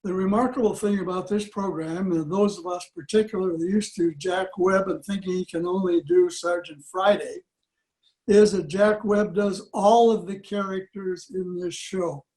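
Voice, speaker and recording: An elderly man giving an informal introduction to a program on a video call, in a halting, deliberate way. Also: high voice, fairly even intonation, darkish tone, low-quality recording. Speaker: club presenter introducing an old radio show. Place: small room at home. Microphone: computer video call.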